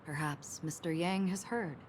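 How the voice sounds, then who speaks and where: A woman voicing a calm, solemn, forgetful swordswoman wanderer in a video game, speaking in a grave, measured, reflective way. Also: sits low, a little hiss or hum. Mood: wistful, reflective. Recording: loud music under the voice. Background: loud music.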